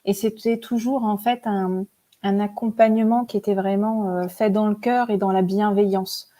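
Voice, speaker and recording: A woman's voice: mid-range voice, little pitch movement, normal speed, dark tone. A woman in her forties, a guest telling her story in a chatty, hesitant way with many fillers and frequent laughs. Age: 40s. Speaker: guest, spiritual author and teacher. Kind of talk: casual interview storytelling.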